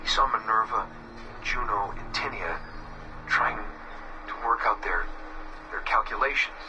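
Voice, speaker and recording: An actor playing a weary, haunted young man, recording a halting, reflective confession in a subdued murmur for a game. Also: lowish voice, dull audio, background noise, natural voice. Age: young adult. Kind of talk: recorded confessional audio diary, game voice acting. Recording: distant game audio with music behind. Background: music.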